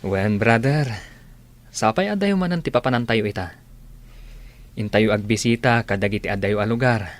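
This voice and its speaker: A man's voice: fairly low pitch, moderate pace, long pauses, close to an everyday voice. A man with an Ilocano accent playing an earnest, devout young seminarian in a radio drama.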